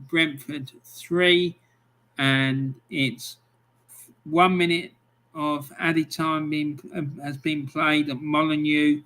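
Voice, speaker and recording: A middle-aged man hosting a football live stream, chatting casually, reading out scores and viewer comments with plenty of ums. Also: a little high. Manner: relaxed and chatty, with many ums.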